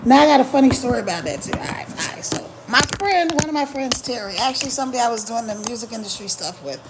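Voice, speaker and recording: A middle-aged woman, a little hoarse, chatting casually on a live stream as an online marketing coach, emphatic and repeating her key points. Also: fairly high pitch, lively intonation, fast, heavy background noise.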